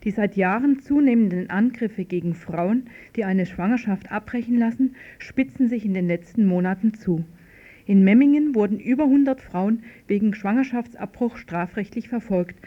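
A female radio reporter with a mid-pitched voice reads a scripted political report. The archive recording sounds slightly dull and lo-fi. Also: normal speed.

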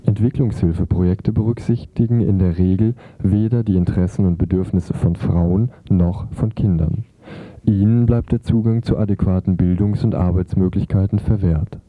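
A man's voice: deep voice, fairly even intonation, normal speed, dry recording. A man narrating a scripted report as a radio reporter. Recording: dull, lo-fi radio recording.

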